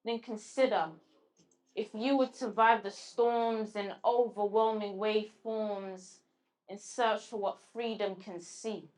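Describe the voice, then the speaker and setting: Woman poet, halting and reflective. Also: mid-range voice, fairly even intonation, darkish tone, rough recording. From London. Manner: halting, searching for words with restarts when answering. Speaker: guest poet. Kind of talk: spoken word recital and interview answers.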